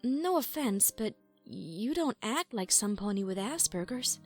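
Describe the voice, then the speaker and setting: A woman's voice: medium pitch, animated, unhurried. A woman voicing a polite, hesitant adult female pony in a fan fiction audio story, speaking tentatively. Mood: surprised, doubtful. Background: music.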